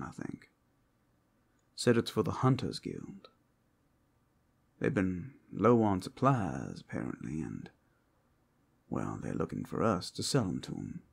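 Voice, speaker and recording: Guy doing a flirty teasing werewolf for asmr roleplay, deep n raspy, slow playful drawl. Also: exaggerated voice. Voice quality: gravelly, husky, often dropping to a whisper. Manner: slow, playful, seductive drawl with pet names. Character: flirtatious, teasing male werewolf companion in a fantasy world. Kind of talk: ASMR roleplay, intimate scripted acting to the listener.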